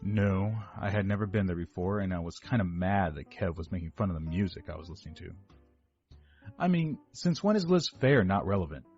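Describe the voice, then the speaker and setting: An actor playing an overweight eighteen-year-old rich kid giving a rambling, defensive first-person confession to police. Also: male voice, sits low, lively intonation, fairly quick, darkish tone, muffled recording. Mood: rambling, confiding. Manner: rambling, self-correcting, defensive. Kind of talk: dramatic first-person monologue reading. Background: background music.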